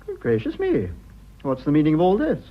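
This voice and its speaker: An actor playing a prim, petulant middle-aged husband in a period radio drama, with an upper-class British accent and a fussy, spluttering, condescending delivery. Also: male voice, a little high, huge pitch swings, brisk, dull audio. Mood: incredulous, patronising.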